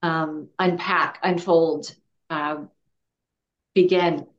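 A woman hosting an interview who asks her questions slowly and searchingly, with many fillers. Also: sits low, fairly even intonation, reverberant, lo-fi sound. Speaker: interview host. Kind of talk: casual interview chat.

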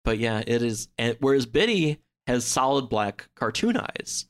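A man in relaxed podcast conversation, explaining things informally in loose, hedging phrases peppered with "like". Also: mid-range voice, unhurried. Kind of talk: casual podcast chat, explaining.

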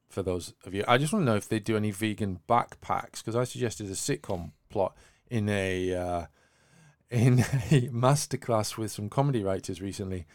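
A man with a lowish voice presents tech news on a podcast. The sound is dry, with little room in it. His delivery mixes read items with wry, flippant commentary. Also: no room sound. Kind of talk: news discussion podcast, semi-scripted.

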